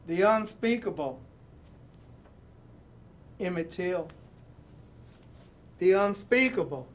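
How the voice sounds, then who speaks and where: Slow, high-pitched man reading aloud expressively. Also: animated. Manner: deliberate, emphatic, with repeated phrases and dramatic pauses. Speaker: storybook reader and presenter. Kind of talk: expressive read-aloud of a picture book with personal asides.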